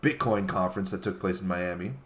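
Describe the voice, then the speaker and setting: A man guesting on a comedy talk show, bantering casually and reading posts aloud in a halting way. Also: low-pitched, fairly bright tone.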